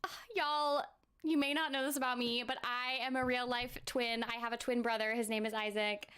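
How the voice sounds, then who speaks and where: A woman's voice: fairly high pitch, level tone, normal speed, a bit bright, clean tone. A woman streaming a game, reacting in a gushing, effusive way with frequent exclamations and laughs. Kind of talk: emotional live reaction while gaming.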